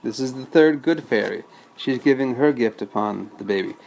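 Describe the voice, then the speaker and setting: A man's voice: medium pitch, moderate pace, creaky, darkish tone, slight background noise, rough recording. A man reading a storybook aloud as a father, patiently breaking off to explain things casually to a child.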